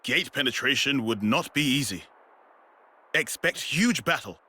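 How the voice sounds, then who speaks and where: A man's voice: medium pitch, lively intonation, measured pace, sharp tone, husky, heavily acted. A man voicing a terse game warrior who speaks in clipped, sparse phrases. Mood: serious. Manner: terse, drops small words. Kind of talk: video game cutscene acting.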